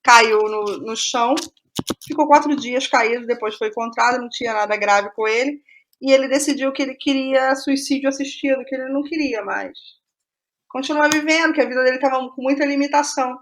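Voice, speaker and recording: A Brazilian woman speaking casually as an interview guest, telling stories in an animated way and thinking aloud with rhetorical asides. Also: sits high, a little fast, slight background noise. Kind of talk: casual conversational interview about writing process.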